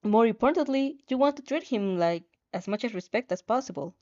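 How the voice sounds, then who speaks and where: A woman's voice: on the high side, animated, fairly quick, darkish tone, muffled recording. A woman narrating a second-person story expressively, in an informal manner with stumbles over the lines. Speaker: fan fiction reader.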